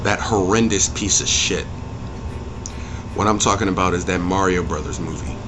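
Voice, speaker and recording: A man's voice: sits low, normal speed, background noise. A man hosting an opinionated review rant, speaking in an emphatic, blunt, profane way and repeating phrases for punch. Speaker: video game movie reviewer and channel host.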